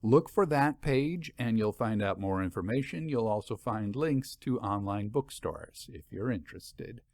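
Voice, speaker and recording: A man's voice: fairly low pitch, expressive, measured pace, darkish tone, dry recording. Man hosting, musing in a dry sound. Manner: musing, asks rhetorical questions. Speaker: podcast host and anthology editor. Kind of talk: conversational commentary to listeners.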